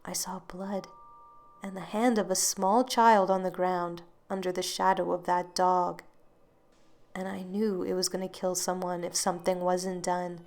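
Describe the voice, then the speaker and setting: A woman narrating a first-person horror story in a soft, hushed way that sometimes drops to a whisper. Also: on the low side, little pitch movement, measured pace.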